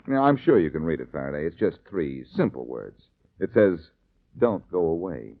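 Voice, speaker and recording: Wry male sleuth, low voice, lively swings, dull vintage radio sound. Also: moderate pace, very noisy. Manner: wry, self-assured. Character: cocky, quick-witted male amateur detective. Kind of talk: 1940s radio detective drama acting. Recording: muffled old radio recording.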